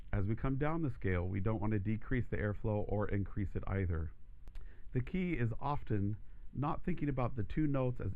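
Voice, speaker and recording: A man teaching a voice lesson, explaining steps calmly and steadily, his voice croaky from allergies. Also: medium pitch, narrow, tinny audio. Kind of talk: instructional demonstration.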